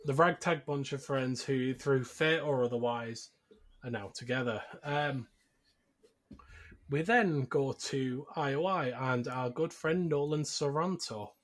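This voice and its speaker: A man's voice: medium pitch, unhurried, a bit bright. A man hosting a podcast, casually recapping a film in a jokey, conversational way. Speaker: podcast host.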